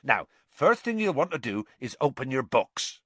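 A man's voice: big pitch swings, bright, forceful tone, no room sound. A man voicing a huge, eager gamekeeper in an audiobook, hearty but easily flustered. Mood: hearty, excited. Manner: hearty, booming calls, halting when unsure. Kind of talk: audiobook character voice.

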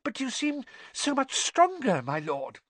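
A man voicing a timid, servile wizard henchman in an audiobook, squeaky, anxious and pleading, words tumbling out in a rush. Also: wildly swooping pitch, a little slow, fairly bright tone, no room sound, exaggerated voice. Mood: cringing, frightened.

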